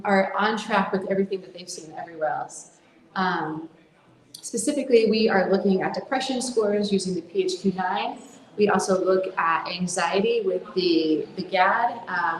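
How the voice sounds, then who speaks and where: A woman's voice: medium pitch, darkish tone. American woman presenting in an echoey room. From United States. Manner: earnest, with frequent fillers and restarts. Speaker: conference speaker, charity co-founder. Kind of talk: conference presentation. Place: conference room.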